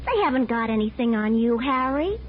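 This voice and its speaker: A woman's voice: a little high, big pitch swings, moderate pace. An actress playing a brassy, feisty woman who stands her ground, in 1950s radio drama style. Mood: indignant, bossy. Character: brassy, evasive working-class young woman; bossy protective wife. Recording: muffled, slightly noisy 1950s radio recording.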